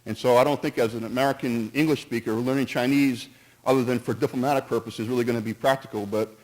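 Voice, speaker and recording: A man's voice: medium pitch, steady tone, fast talker, darkish tone, poor audio. A man speaking at a town meeting, earnest and cautious, who stumbles, restarts and jumps between points while giving his opinion. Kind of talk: public comment at a town meeting.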